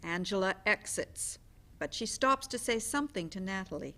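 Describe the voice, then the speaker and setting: A woman's voice: medium pitch, animated, moderate pace, sharp tone. A woman narrating the stage directions of a play as scripted narration.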